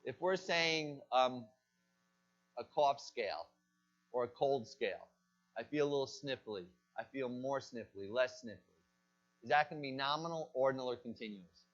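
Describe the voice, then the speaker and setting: Male college lecturer, mid-pitched, quizzing the class and answering his own questions; muffled lo-fi classroom capture. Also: animated, moderate pace. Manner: asks the class questions and answers them himself. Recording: dull lo-fi lecture recording.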